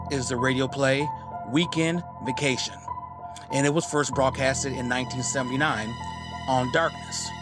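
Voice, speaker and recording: A man giving a scripted introduction as a podcast host. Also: medium pitch. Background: loud music.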